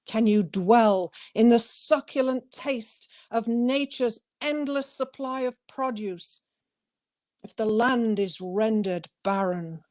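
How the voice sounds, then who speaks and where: A woman poet presenting and reading her own work as a guest, with deliberate, emphatic phrasing. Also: on the high side, darkish tone. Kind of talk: poetry reading with brief informal introduction.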